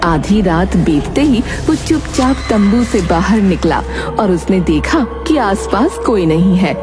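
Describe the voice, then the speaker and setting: A woman's voice: medium pitch, animated, unhurried. Woman narrating a children's story over music. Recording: loud music behind.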